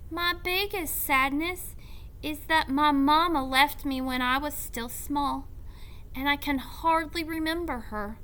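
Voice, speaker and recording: Storybook young girl, read by a woman, earnest, curious, lonely, high voice, lively swings. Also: exaggerated voice.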